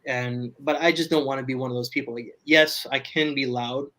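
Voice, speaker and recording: A man talking loudly from his apartment as a guest on a casual video call, rambling with many restarts. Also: medium pitch, steady tone, a little fast. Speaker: podcast guest. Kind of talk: casual livestream chat. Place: home apartment.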